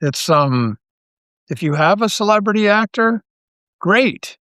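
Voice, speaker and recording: Male Canadian interview guest, lightly high register, earnest thinking-aloud delivery, casual and halting, no room sound. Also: lively intonation, bright, forceful tone, no room sound, clean studio sound. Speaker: interview guest, audio drama writer and creator.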